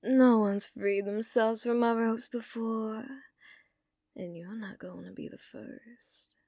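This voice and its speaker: Casting: actress as possessive yandere bounty hunter for ASMR roleplay; female, unhurried, sultry, dominant, slipping into whispers, close dry sound. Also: on the high side, steady tone, gravelly, mellow, no echo. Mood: smug, teasing. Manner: slow, taunting, sultry, often dropping into whispers.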